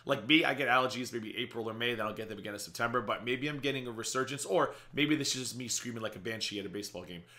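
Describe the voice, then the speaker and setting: A man in his thirties hosting a casual reaction video from a small home office, slightly raspy, chatty and sarcastic. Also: mid-range voice, sharp tone. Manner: chatty and sarcastic, quick asides. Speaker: reaction channel host.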